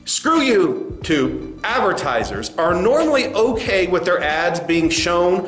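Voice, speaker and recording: A man's voice: sits high, sing-song, takes it slowly, a bit bright, heavily acted. A man playing a smug, dismissive corporate spokesman in a comedy sketch, stammering through condescending doublespeak. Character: smug, dismissive male corporate spokesman facing angry creators. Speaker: spokesman at a press address. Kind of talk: satirical comedy sketch speech. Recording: roomy echo with faint music.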